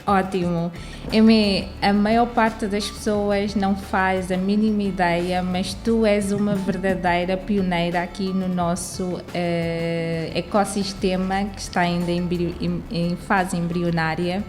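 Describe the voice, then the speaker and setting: A young Angolan woman hosting a podcast interview, chatty and full of "eh" fillers. Soft music plays under her voice. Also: on the low side, little pitch movement, slow pace. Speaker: podcast host.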